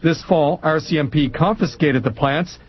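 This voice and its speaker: A man delivers a TV news report in a measured, crisp voice pitched a little high. The broadcast sound is muffled.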